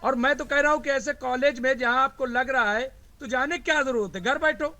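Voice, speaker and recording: A man's voice: extremely high pitch, fairly quick, a loud crowd. A man on a debate panel arguing heatedly, repeating his phrases to hold the floor.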